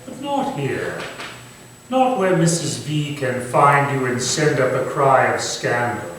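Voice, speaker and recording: A man playing a manipulative, commanding Victorian gentleman villain in a staged thriller, speaking in formal, theatrical phrases. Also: mid-range voice, lightly acted. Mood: quietly menacing. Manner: formal, deliberate, theatrical delivery. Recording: distant, echoey stage recording with faint noise.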